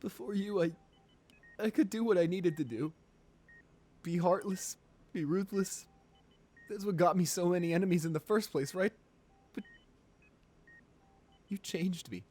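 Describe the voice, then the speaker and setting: A man's voice: sits high, darkish tone. Man acting an anguished, devoted ruthless lover. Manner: pleading, intimate, halting and emotional. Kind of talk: romantic ASMR roleplay audio drama.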